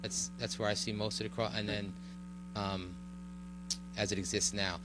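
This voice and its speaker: A low-pitched man explains a road project at a public meeting, halting and full of fillers, restarting his sentences. A steady electrical hum sits clearly under his voice. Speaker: village official presenting a road project. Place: village board meeting room.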